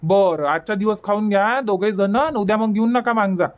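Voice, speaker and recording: Casting: gentle cartoon village dad, played by a man, high voice, Varhadi Marathi accent, patient and coaxing advice-giver. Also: normal speed, lightly acted. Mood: conciliatory. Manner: coaxing, repetitive moral advice. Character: patient, gentle village father advising his wife. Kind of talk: cartoon comedy dubbing.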